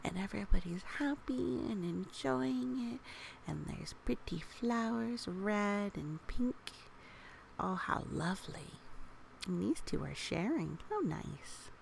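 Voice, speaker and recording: A woman reading a picture book aloud for young children, with gentle, expressive, chatty asides and questions. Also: mid-range voice, slow pace, vocal fry, a little hiss or hum, rough recording.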